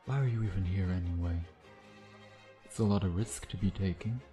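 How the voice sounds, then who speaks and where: A man's voice: low-pitched, a little fast, over music, natural voice. A man playing a suave, predatory vampire in an intimate ASMR roleplay, taunting in a sardonic, flirtatious way with murmured asides. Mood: playful menace. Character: suave, teasing, predatory male vampire, playfully menacing.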